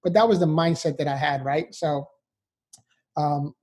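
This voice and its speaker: Upbeat, mellow-voiced New man. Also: fairly high pitch, fairly even intonation, measured pace. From New York, USA. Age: 40s. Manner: earnest, upbeat, with light laughs and fillers. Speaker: motivational speaker guest. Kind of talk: interview storytelling.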